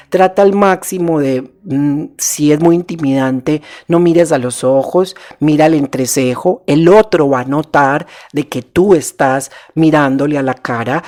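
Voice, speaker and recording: A man, a psychologist hosting his own show, talking gently and steadily with some hesitations and restarts. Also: a little high. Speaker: psychologist host. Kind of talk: calm advice talk.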